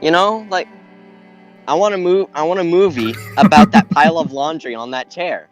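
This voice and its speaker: A man chatting casually as a podcast panellist, haltingly repeating phrases as he builds his ideas. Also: on the high side, soft background music. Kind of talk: casual chat.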